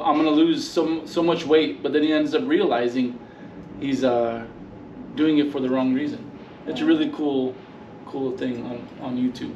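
A man being interviewed, chatting casually and hesitantly. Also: mid-range voice. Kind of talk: casual chat. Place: backstage dressing room. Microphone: camera across the room. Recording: dull, echoey, noisy distant camera mic.

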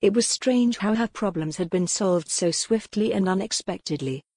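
A woman's voice: mid-range voice, normal speed, mellow, no room sound, clean studio sound. A woman narrating a historical romance audiobook in a scripted, dramatic storytelling style.

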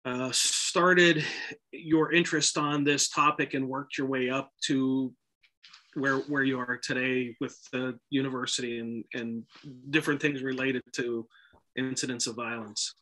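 Bright-voiced male podcast host. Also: medium pitch, flat delivery, a little slow, poor audio. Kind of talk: conversational podcast introduction. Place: indoor office, video call.

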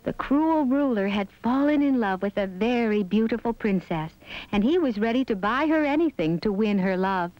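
A woman's voice: on the high side, lively intonation, normal speed, no room sound. A woman storyteller reading scripted narration for a children's fairy tale. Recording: dull lo-fi soundtrack with music behind. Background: background music.

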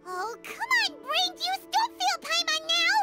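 A woman voicing a tiny, excitable, childlike fairy companion in an anime fantasy game, with a squeaky voice and chatty, exclamatory delivery. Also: measured pace, faint music behind, full character voice. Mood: eager, flustered.

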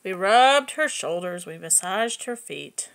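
A woman reading a picture book aloud to young children, expressive and explaining things simply. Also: medium pitch, slow, vocal fry, a little hiss or hum. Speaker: preschool story reader.

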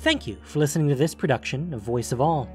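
A man's voice: medium pitch, animated, quick pace, faint music behind. A man reading scripted narration for a fantasy audio drama. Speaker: narrator and podcast host.

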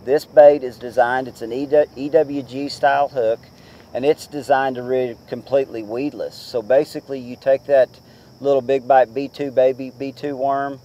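A man in his forties giving casual fishing tips to the camera from a boat on a lake, talking in a relaxed, chatty way with many fillers. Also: mid-range voice. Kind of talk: casual how-to talk to camera.

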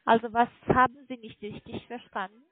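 A high-voiced woman with a foreign accent works through a sales pitch on a muffled phone line. She speaks haltingly in non-native German, pressing on and often seeking confirmation. The sound is dry and narrow. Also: little pitch movement, no echo. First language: not German. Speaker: call centre telemarketer selling online trading. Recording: muffled phone line, fluctuating microphone volume.

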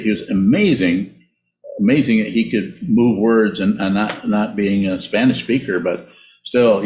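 An older man, a Buddhist teacher, giving an informal, reflective talk in a halting way with repeated words over a video call. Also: lowish voice, a little mellow. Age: older, around 70s. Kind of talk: informal dharma talk and answering questions. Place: small indoor room, on a video call. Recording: roomy, echoey video call.

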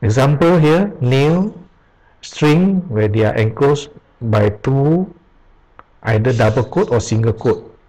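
A man walks through programming basics in a screen-recorded tutorial, reading slowly from web pages. He talks at a leisurely pace in a mid-pitched voice. He often pauses and restarts as he goes. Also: animated, dark tone, poor audio. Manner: slow, halting, reads aloud with pauses and restarts. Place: at a computer, voice-over on screen capture.

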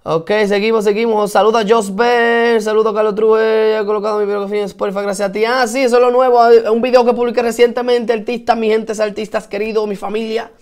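High-voiced Dominican man chatting live. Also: fast talker, few pauses, smooth. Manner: chatty, greets viewers and answers their comments; lively and encouraging, repeats himself for emphasis. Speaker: host of a live stream for musicians. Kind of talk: casual live-stream chat.

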